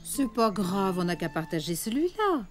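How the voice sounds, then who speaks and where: A woman voicing a busy, affectionate mother elephant in a French children's cartoon, motherly and expressive, firm with her children. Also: medium pitch, normal speed, close to an everyday voice. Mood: tender. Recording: music behind the voice.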